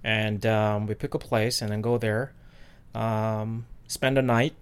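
A man's voice: lowish voice, steady tone, takes it slowly, clean tone. A man from South Korea, co-hosting a podcast in loose, rambling casual chat full of fillers. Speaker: podcast co-host.

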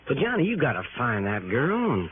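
Animated, earnest man on old radio. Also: mid-range voice, fairly quick. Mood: worried, earnest. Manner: emphatic, repetitive, urgent. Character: earnest, worried male associate of a detective. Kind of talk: 1960s radio drama acting. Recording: muffled old radio recording, faint noise.

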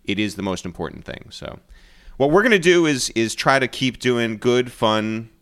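A man's voice: medium pitch, big pitch swings, normal speed, fairly bright tone. A man hosting a podcast, chatting casually with slangy, jokey turns of phrase. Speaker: podcast host. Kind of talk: casual phone interview chat.

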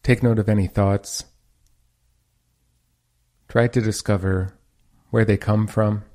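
A man leading a guided meditation as host, giving gentle, measured instructions with pauses between phrases. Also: lowish voice, level tone.

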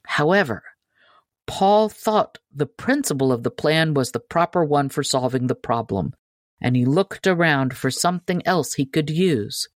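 A woman's voice: sits low, animated, measured pace, no room sound. Woman narrating a storybook, close and dry. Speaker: audiobook narrator.

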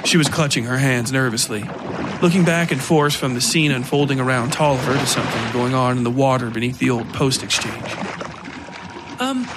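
A man narrating scripted dark horror fiction as a podcast storyteller. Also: mid-range voice, steady tone, fairly quick, noisy background.

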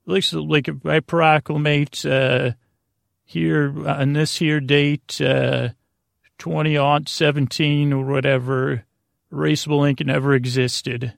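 Middle-aged American man, heavy gravelly vocal fry, slightly high pitch, halting whimsical bedtime rambling, dry close recording. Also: little pitch movement, no echo. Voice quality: very creaky, gravelly. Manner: meandering, halting, self-interrupting, whimsical. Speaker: podcast host. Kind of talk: rambling bedtime monologue to help listeners sleep.

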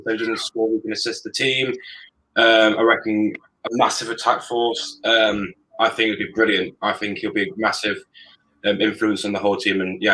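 A man's voice: lowish voice, sharp tone, rough recording. A man joining a casual fan chat on a video call, speaking hesitantly with many ums. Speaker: guest fan.

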